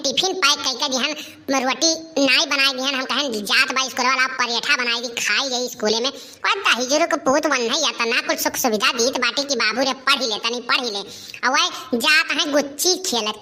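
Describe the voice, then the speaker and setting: A woman acts out a hot-tempered village mother from a comic cartoon. Her voice is high and piercingly sharp, with an Awadhi accent. She rants, complains and curses like someone worn out by chores and her wayward child. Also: moderate pace. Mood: furious. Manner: ranting, complaining, cursing.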